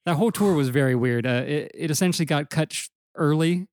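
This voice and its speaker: A slightly hoarse man co-hosting a podcast, laid-back and rambling in casual chat. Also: fairly high pitch, a little fast, a little mellow, no echo, studio quality. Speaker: podcast co-host and musician.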